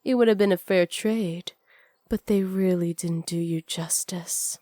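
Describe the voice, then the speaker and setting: A woman playing a seductive, teasing witch in an intimate ASMR roleplay, sly and possessive, often slipping into breathy whispers close to the microphone. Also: on the low side, mellow, no echo, natural voice. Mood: sly, probing. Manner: slow, breathy, often whispered, flirtatious purr. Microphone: close studio microphone.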